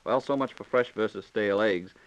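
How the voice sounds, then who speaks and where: A man's voice: lowish voice, brisk, smooth, highs cut off. An American man hosting a scripted 1940s radio program, announcing with folksy, courteous patter.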